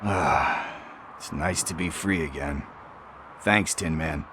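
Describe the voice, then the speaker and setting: Shifty hustler, very deep voice, chatty wheedling slang, faint noise behind. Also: male voice, slow pace. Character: shifty street hustler, middle-aged man, chatty and evasive.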